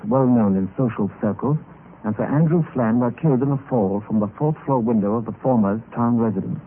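A man acting as a grave gentleman narrator in an old radio mystery, telling the tale in a measured, dramatic way. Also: mid-range voice, close to an everyday voice. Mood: sober. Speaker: narrator and lead character. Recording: muffled, noisy old radio recording.

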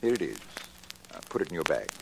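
An actor playing a courteous, trusting business boss in 1940s radio drama, with a polite, hesitant manner. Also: male voice, extremely low pitch, very animated, fast, vocal fry, noisy background, heavily acted. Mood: businesslike.

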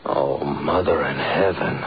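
An actor with an American accent playing a determined, domineering doctor in a 1930s radio horror drama, urgent and melodramatic. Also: male voice, extremely low pitch, takes it slowly. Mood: horrified. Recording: noisy, muffled 1930s radio recording.

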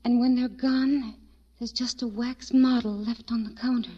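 A dull old radio drama recording of a timid young servant girl. Her voice sits high and comes haltingly, gentle and pleading. She sounds unhappy and afraid. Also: fairly even intonation. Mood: hushed dread. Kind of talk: 1940s radio drama acting.

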